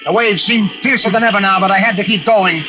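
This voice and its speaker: An actor as an anguished high-voiced lighthouse keeper. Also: male voice, fast talker, natural voice. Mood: desperate, driven. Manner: breathless, intense, dramatic delivery. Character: anguished lighthouse keeper recounting a storm at sea. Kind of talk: old-time radio drama acting, first-person narration. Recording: dull old radio recording, loud music behind.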